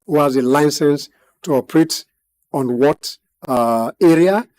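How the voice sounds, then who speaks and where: A man gives measured expert commentary in a TV studio. His voice is mellow and dark, his phrasing formal and punctuated by frequent hesitations. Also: fairly high pitch. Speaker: guest analyst.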